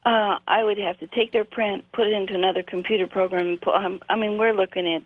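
A woman's voice: mid-range voice, fairly even intonation, quick pace, no room sound. Woman in her sixties over a phone line. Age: 60s. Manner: explanatory, hesitant with frequent ums. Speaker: guest. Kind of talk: radio interview by phone.

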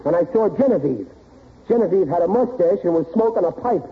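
A man's voice: high-pitched, animated, fairly quick. An actor playing a suspicious, blustering middle-aged American working-class father in a 1940s radio sitcom, grumbling in ungrammatical speech. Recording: tinny old radio broadcast recording.

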